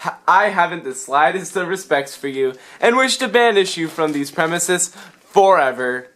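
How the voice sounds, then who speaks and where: A man's voice: a little high, animated, normal speed, a bit bright, pitched above the performer's own voice. A young man voicing a furious, haughty Algerian ruler who hurls insults in an amateur puppet show. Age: young adult.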